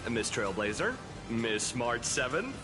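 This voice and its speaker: A man's voice: mid-range voice, sing-song, slow pace, music in the background. A man voicing a gracious, courteous venue greeter in a formal, theatrical anime game style. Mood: courteous, welcoming.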